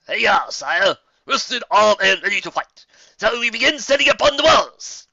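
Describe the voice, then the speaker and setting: A dutiful monster officer performed by a male story reader barks reports in a raised, forceful voice. The delivery is military and deferential, pitched up and loud, from a fantasy audio story. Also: animated, moderate pace, creaky, dry recording, dull audio, heavily acted. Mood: eager, barking. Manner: barking, clipped military deference.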